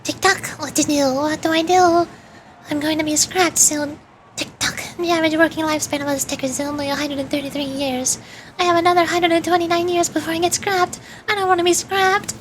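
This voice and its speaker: A woman puts on a little clock creature from a video game, high in pitch and chirpy. It frets and exclaims in a plaintive, anxious way. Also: fairly even intonation, brisk, a little hiss or hum.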